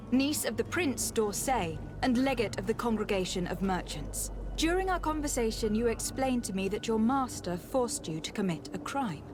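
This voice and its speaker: Woman acting firm shrewd noble lady, game dialogue, highish voice, very proper, music under it. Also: natural voice. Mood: stern, threatening. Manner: formal, articulate, authoritative. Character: poised noblewoman legate, firm, shrewd, commanding diplomat. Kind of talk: scripted video game dialogue. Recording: music behind the voice.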